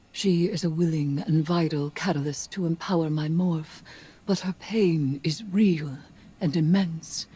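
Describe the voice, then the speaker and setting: A woman playing a grave, haunted mystic of dark magic in a video game, husky and breathy, with solemn, deliberate phrasing. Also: low voice, full character voice. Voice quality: husky, breathy, often near a whisper. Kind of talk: dramatic video game monologue.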